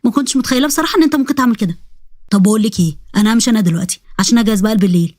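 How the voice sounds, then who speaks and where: A woman voicing a male jinn spirit with a thick, low voice and an Egyptian accent, in a storybook tale. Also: very fast, darkish tone, dry recording, clean studio sound, lightly acted. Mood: teasing. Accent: Egyptian Arabic.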